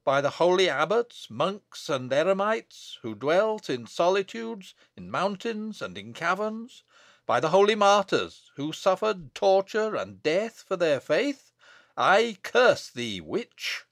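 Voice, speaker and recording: A stern abbot, acted by a male narrator declaims like a wrathful prophet in a gothic storybook. The words are loud and weighty, swinging widely in pitch, with solemn archaic phrasing. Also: animated, slow pace, no echo, studio quality. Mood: wrathful, condemning.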